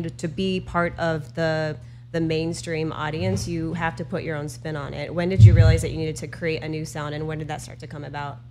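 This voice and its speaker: A woman's voice: low-pitched, a little mellow, a little hiss or hum. A woman hosting an interview, talking conversationally with her guest. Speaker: interview host.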